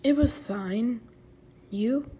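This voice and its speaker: A woman voicing a cool, scornful, sinister female pony in an amateur cartoon drama, deliberate and haughty. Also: fairly high pitch, mellow, breathy edge, heavily acted. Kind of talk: amateur animated audio drama.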